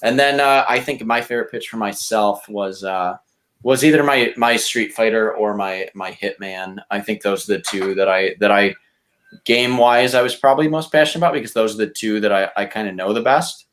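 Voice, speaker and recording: Man, slightly low voice, blunt, argumentative, profane, casual podcast debate. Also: brisk, a bit bright. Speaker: podcast contestant pitching movie reboots.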